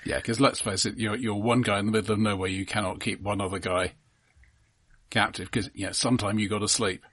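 A man's voice: lowish voice, fairly even intonation, brisk, a bit bright, husky, no echo. A man co-hosting a casual film discussion in a matter-of-fact way. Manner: matter-of-fact, interjects corrections. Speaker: film podcast host.

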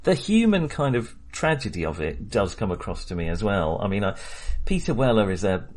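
A man's voice: fairly low pitch, big pitch swings, fairly quick, a little mellow. A man co-hosting a casual film chat, talking hesitantly with many restarts. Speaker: podcast co-host.

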